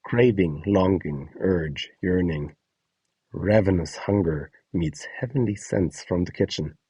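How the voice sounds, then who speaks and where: A man's voice: deep voice, takes it slowly, warm tone, husky, no room sound. A man presenting a scripted guide, announcing prompts and describing short example scenes. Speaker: presenter of an audio donation guide.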